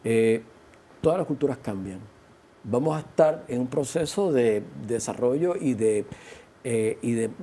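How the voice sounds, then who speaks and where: A man's voice: mid-range voice, mellow. A man, talking conversationally as a guest on a studio panel. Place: television studio. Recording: faint background studio noise.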